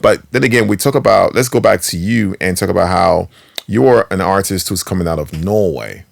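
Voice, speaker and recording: A man hosting a casual interview podcast, talking with enthusiasm, quick to laugh and swinging between loud and soft. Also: low-pitched, creaky, no room sound, studio quality. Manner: animated, laughs easily, enthusiastic. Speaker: podcast host.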